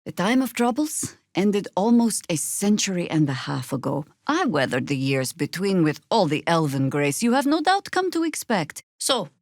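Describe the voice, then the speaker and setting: A seasoned druid warrior from a fantasy video game. She is commanding and sardonic, weighing her words with dry wit. The voice sits a little low for a woman and is captured close and dry. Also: very animated, normal speed, few pauses, dry recording. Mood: grave, measured.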